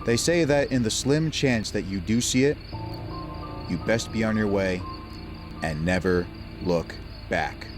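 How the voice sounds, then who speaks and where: A low-pitched, smug steam engine acted by a man who speaks with ominous deliberation, as if spinning a dark tale. It is an audio drama character, with music clearly behind the voice. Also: a little slow, lightly acted. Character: scheming, smug male engine, spinning ghost tales. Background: music, cricket and insect night sounds.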